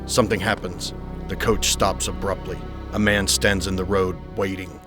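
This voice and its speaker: An actor playing a brooding young gentleman haunted by his past, speaking in a measured, solemn, formal way in a motion comic. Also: low voice, level tone, close to an everyday voice. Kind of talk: motion comic narration and dialogue. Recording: music underneath. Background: music.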